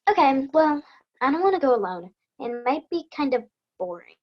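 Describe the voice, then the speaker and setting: A woman's voice: high-pitched, very animated, moderate pace, dull audio. A woman voicing Sam, an eager, lonely little girl. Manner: eager, childlike. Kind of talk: amateur radio play acting.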